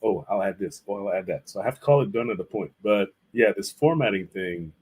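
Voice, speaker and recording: A man's voice: fairly low pitch, animated, brisk, noisy background. A man speaking as a guest artist in a casual interview, telling stories in an informal, reflective way. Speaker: guest, visual artist and illustrator.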